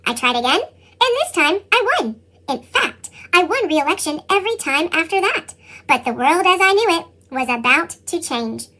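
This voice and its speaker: A woman reading a children's picture book as an earnest young boy, with a squeaky pitched-up chipmunk effect. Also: moderate pace, heavily acted. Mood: determined. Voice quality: squeaky, sped-up chipmunk sound. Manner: animated children's read-aloud delivery. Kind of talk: scripted picture-book narration.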